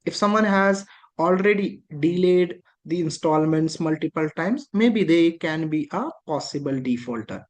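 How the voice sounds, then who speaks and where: Instructor, patient and repetitive, mid pitch. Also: animated, unhurried. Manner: patient, repetitive explaining with frequent rhetorical questions. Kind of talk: explaining a lesson.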